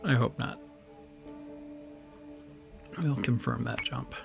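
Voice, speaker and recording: A man's voice: medium pitch, fairly even intonation, slow, vocal fry, music in the background. Man with a weak, strained voice. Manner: chatty and rambling, with many fillers and restarts. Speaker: streamer and game host. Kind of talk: casual live-stream commentary while playing. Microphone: desk microphone on a boom arm.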